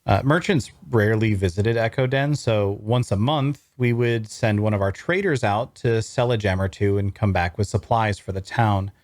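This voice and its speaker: Low-voiced man playing a fantasy traveler, close and dry. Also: normal speed, few pauses, a little mellow, no echo, close to an everyday voice. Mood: friendly, guarded. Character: wry young male traveler narrating his fantasy adventure. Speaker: voice actor. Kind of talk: first-person scripted narration at a table read.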